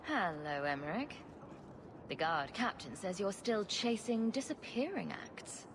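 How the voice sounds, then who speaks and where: A woman's voice: medium pitch, big pitch swings, unhurried, noisy background. A woman playing a sarcastic young rogue heroine in a video game, with a wry, dry delivery. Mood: wry, teasing. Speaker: player character. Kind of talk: video game cutscene acting. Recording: music behind the voice.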